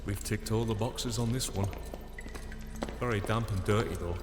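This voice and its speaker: A man's voice: fairly low pitch, moderate pace, close to an everyday voice. A man playing a sceptical, down-to-earth member of a paranormal team in an audio drama. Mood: surprised, wry. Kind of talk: full-cast audio drama acting. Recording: roomy echo with music behind.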